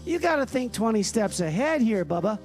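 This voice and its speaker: Squeaky, creaky, pompous sleuth. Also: male voice, big pitch swings, fairly quick, faint music behind. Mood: condescending. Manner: emphatic, condescending. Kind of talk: comedy radio theater.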